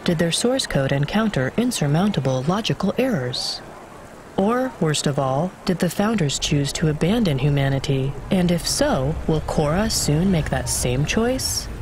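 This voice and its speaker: A formal, emotionless machine assistant from a video game, acted out by a performer, reciting data with exact precision. The mid-pitched delivery moves up and down in pitch, with clear city noise around it. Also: animated, a little slow, lightly acted. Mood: clinical. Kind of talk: scripted game dialogue, reading data.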